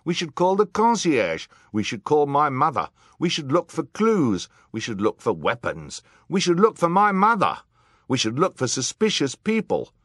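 A man acts out agitated, gossiping hotel guests in a storybook. They are loud, excitable and accusing, pitched high with big swoops. The room is dry. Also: moderate pace, dry recording. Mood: bossy, alarmed.

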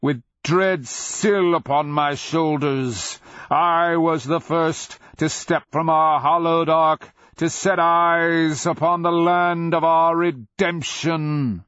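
A man voicing an ancient, proud undead dragon with slow, grandiose roaring declamation in a fantasy audiobook. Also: a little high, fairly even intonation, fairly bright tone, no echo, muffled recording, voice pushed up. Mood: brooding, anguished. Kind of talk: fantasy audiobook character acting.

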